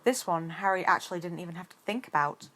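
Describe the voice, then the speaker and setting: A woman's voice: on the low side, fast talker, fairly bright tone. A woman reading scripted story narration as an amateur audiobook narrator.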